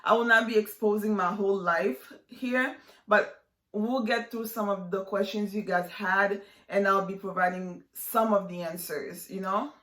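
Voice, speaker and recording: A woman's voice: mid-range voice, fairly bright tone, roomy sound. A woman in her twenties from Haiti, chatting casually to her viewers in a vlog, full of fillers and "like". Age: 20s. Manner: chatty, lots of fillers and likes. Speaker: vlogger. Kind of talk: casual vlog chat to camera.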